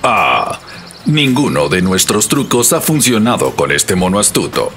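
A woman voicing a foolish male crocodile in a cartoon storybook, with a slow, dopey drawl. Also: extremely low pitch, very animated, soft background music, pitched below the performer's own voice, exaggerated voice. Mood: doubtful. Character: dim-witted male crocodile husband.

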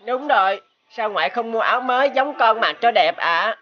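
A woman voicing a sweet, polite and eager young grandchild in an animated moral story. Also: fairly high pitch, normal speed, muffled recording, a little hiss or hum, lightly acted. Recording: music clearly behind the voice.

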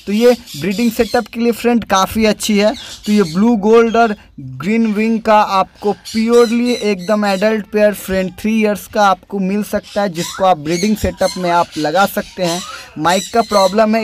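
Young guy talking over his bird vlog, chatty, high voice, faint hum behind. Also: normal speed. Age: young adult. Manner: chatty, repeats "friend" often. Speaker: bird breeder vlogger. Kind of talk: casual vlog voice-over narration. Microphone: dubbed voice-over after filming, no mic on site.

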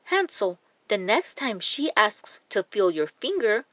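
A woman voicing a gentle, clever young girl in a storybook, speaking earnestly and softly. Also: mid-range voice, very animated, a little slow, no room sound. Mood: urgent, instructing.

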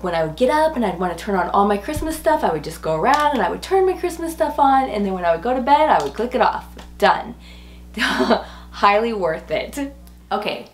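Woman, forties, hoarse voice, mid pitch, chatty and upbeat product review, echoey room at home. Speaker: home decor vlogger. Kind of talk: casual product review to viewers. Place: small furnished rooms at home, indoors. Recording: roomy echo.

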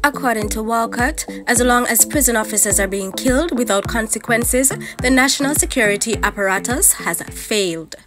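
A woman's voice: on the high side, moderate pace, hardly any pauses. A young woman, hosting a chatty entertainment news vlog and talking straight to her viewers. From Caribbean. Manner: chatty, animated, addresses viewers as guys. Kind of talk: casual news roundup vlog. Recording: music playing behind the voice. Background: music bed under the voice.

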